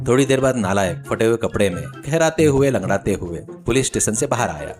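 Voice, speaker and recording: A man's voice: medium pitch, animated, fairly quick, loud music behind the voice. A man narrating a scripted children's story as the storyteller.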